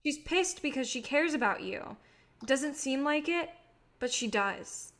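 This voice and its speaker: A woman's voice: sits high, expressive, a little slow, a bit bright, heavily acted. A woman in a staged play reading, playing an exasperated but level-headed film colleague who is reproving and insistent. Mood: firm, reproving.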